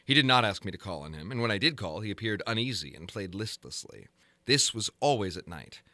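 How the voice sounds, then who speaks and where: A man reads gothic fiction as a dramatic narrator. His voice is fairly low yet bright and forceful, and it moves expressively through formal, measured phrases. The sound is dry, with no room around it. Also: very animated, no room sound. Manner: formal, measured, theatrical delivery. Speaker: narrator reading a story. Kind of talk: dramatic audiobook reading of gothic horror fiction.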